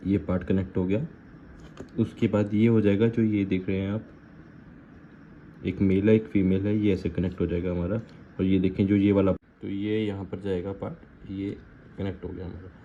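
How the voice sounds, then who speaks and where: A man's voice: deep voice, level tone, moderate pace. An Indian man giving a casual product walkthrough in an informal way, slipping between Hindi and English phrases. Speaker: vlogger reviewing gear. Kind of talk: casual unboxing and installation walkthrough. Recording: muffled lo-fi audio with faint background noise.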